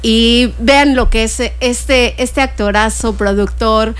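A woman's voice: fairly high pitch, slow pace, clear, resonant tone. A woman, a guest on a talk show, earnestly introducing and praising a performer. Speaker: guest, event presenter. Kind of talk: interview conversation.

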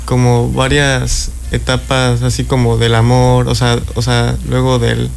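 Young band member in his twenties, flat halting speech with a gravelly edge. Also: mid-range voice, a little hiss or hum. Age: 20s. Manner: hesitant, many restarts and fillers. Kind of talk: interview answers.

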